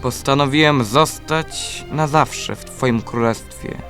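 A man's voice: medium pitch, takes it slowly, fairly bright tone, soft background music. A man playing a devoted, sorrowful young prince, earnest and solemn, in a children's radio play. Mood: sad, resolute.

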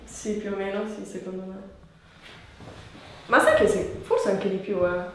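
A woman's voice: mid-range voice, normal speed. A young adult woman, chatting casually as a patient during a treatment. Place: small bare treatment room, indoors. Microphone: camera a few steps away. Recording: very echoey room, distant mic, light noise.

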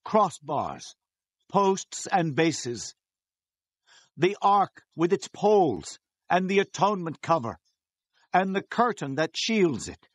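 A solemn bible prophet leader, really slow and deliberate, commanding, very dry close recording. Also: male voice, sits high, no echo, heavily acted. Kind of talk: dramatized audio Bible acting.

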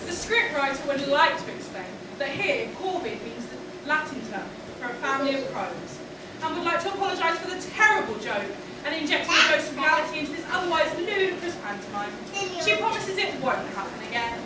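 A woman's voice: high-pitched, very animated, brisk, pauses often. A woman delivering a mock-formal scripted announcement as part of a pantomime. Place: on stage in a small theatre. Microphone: far camera from the audience. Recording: distant, echoey, noisy theatre hall.

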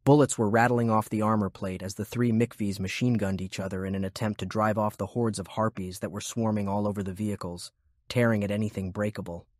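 A man with a husky voice reading scripted story narration for an audiobook. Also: fairly low pitch, dry recording, clean studio sound.

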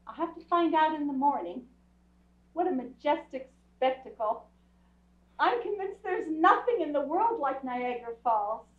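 A woman's voice: sits high, lively intonation, moderate pace. A woman playing a talkative, nagging, unbothered wife in old-time radio comedy. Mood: chatty. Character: chattering, unbothered wife of a crabby husband. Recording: roomy stage recording, distant muffled mic.

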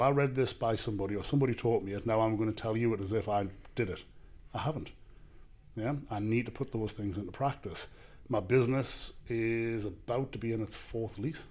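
Northern english bloke, gym owner on a podcast, lowish voice, steady and sure of himself, handheld mic in a small office. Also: male voice. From England. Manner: measured, confident, explains in lists. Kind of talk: casual interview answers. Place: small office studio indoors. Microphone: handheld microphone.

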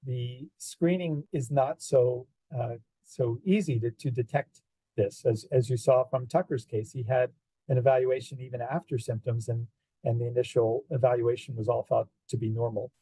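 A middle-aged man, a cardiologist, explaining carefully with halting repeats over a video call from his office. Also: mid-range voice, fairly even intonation, warm tone, breathy edge, no room sound, poor audio. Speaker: pediatric cardiologist. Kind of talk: interview, explaining.

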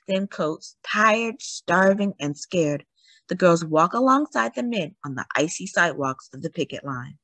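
Lady doing a kids read aloud, really animated, dramatic pauses, close dry sound. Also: fairly low pitch, no room sound. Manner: dramatic, emphatic storytelling with deliberate pauses. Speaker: children's read-aloud story host. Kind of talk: expressive picture book narration.

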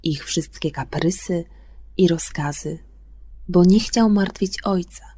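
A woman hosting a children's bedtime radio show, presenting and telling stories in an expressive way for young listeners. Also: on the low side, breathy edge. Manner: expressive, drawn-out delivery for children. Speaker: radio host and storyteller. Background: music.

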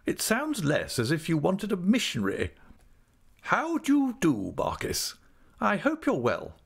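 A man voicing a stern, brusque elderly great-aunt in a storybook, with a crisp, commanding manner. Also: sits high, huge pitch swings, unhurried, pitched above the performer's own voice, exaggerated voice. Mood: grudgingly civil. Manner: crisp, commanding, bluntly disapproving.

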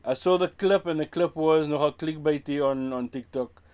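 A man in his thirties with a Cape Town South African accent and a voice pitched a little high for a man. He talks bluntly and casually, mixing in Afrikaans phrases. He is recorded in a small room with an off-camera mic. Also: normal speed, a little mellow, smooth. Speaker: music reaction host. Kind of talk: casual reaction commentary. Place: small indoor room with a brick wall.

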